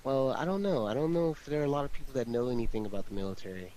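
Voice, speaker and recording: A man's voice: medium pitch, racing, warm tone. A man chatting casually in a rambling, repetitive, slangy way. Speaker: amateur audio drama producer. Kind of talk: casual chat. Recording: hissy, noisy home microphone.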